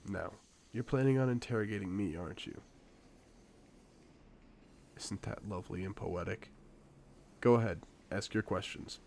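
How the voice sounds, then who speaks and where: Man acting a low, gravelly, teasing secret agent. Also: darkish tone, a little hiss or hum. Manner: smooth-talking, playful, theatrical, deliberate phrasing. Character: suave male secret agent, teasing, confident, in disguise. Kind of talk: scripted one-sided audio roleplay, spy drama.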